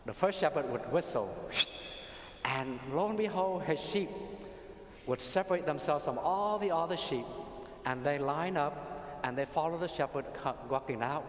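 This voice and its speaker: Middle-aged Vietnamese-accented priest preaching, unhurried storyteller; roomy cathedral with long reverb. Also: male voice, on the high side, animated. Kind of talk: sermon. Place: large cathedral.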